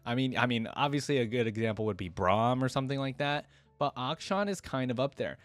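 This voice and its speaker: A man's voice: mid-range voice, a little fast, no room sound. A man giving casual, enthusiastic, slangy reaction commentary and reading a story aloud as host.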